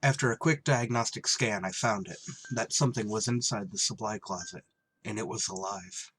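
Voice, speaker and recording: A man's voice: lowish voice, normal speed, fairly bright tone, soft background music, close to an everyday voice, rough recording. A man acting a wry, duty-bound shuttle pilot in a scripted retro radio drama. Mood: grave narration. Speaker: actor and first-person narrator in an audio drama. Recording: clear background noise.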